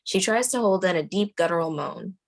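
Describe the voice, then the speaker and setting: A woman's voice: on the low side, animated, brisk, gravelly, faint music behind. A woman reading scripted stage directions aloud as the narrator of a screenplay table read.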